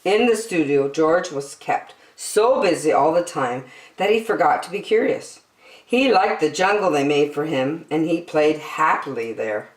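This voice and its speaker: A woman reads a picture book aloud with dramatic emphasis. Her voice sits a little low but swings widely in pitch. The room is noticeably echoey. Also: lively intonation, a little hiss or hum. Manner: dramatic, with emphatic slowed phrases. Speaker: children's story reader.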